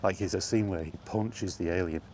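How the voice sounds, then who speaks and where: Low-pitched man chatting over background noise. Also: fairly even intonation, brisk, darkish tone. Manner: conversational, rhetorical questions and asides. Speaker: vlog host. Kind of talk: casual film chat monologue. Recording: clear background ambient noise.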